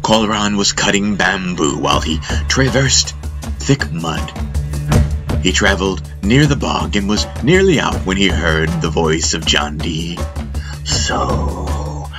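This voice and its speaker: A man narrating a fantasy audiobook as a dramatic storyteller, solemn and deliberate. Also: deep voice, animated, over music.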